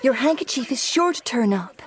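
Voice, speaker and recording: An actress playing a bossy but reassuring young society debutante in a period radio drama. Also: female voice, sits high, expressive, fairly quick. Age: young adult.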